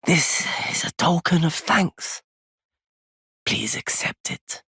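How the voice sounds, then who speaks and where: Casting: weary game merchant, very raspy and breathy, slow, courteous, formal delivery. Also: male voice, on the high side, sing-song, no room sound, clean studio sound, full character voice. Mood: polite, weary. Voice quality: husky, breathy, gravelly. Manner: measured, hushed, formal wording. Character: weary, courteous wandering merchant, darkened by anguish. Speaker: merchant character. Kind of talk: dramatic video game voice acting.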